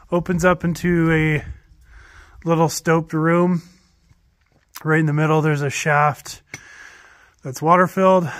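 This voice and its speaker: A man's voice: on the high side, no echo, studio quality. A man casually narrating outdoors at a snowy old mine site, naming minerals as he holds up rock samples for a handheld camera. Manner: matter-of-fact, names minerals while showing rock samples. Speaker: mineral exploration vlogger.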